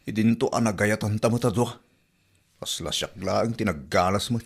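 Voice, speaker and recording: A man acts out a defensive, easily flustered husband in a radio comedy. His voice sits on the low side, with an Ilocano accent and an aggrieved, protesting delivery. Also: moderate pace, creaky, breathy edge.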